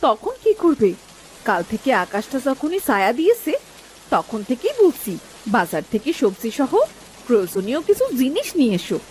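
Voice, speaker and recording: A woman's voice: fairly high pitch, normal speed, poor audio. A woman playing a worried, scolding village wife and mother in a cartoon, with rain heard behind her. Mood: exasperated nagging. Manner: nagging, exasperated. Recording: steady rain noise behind voice.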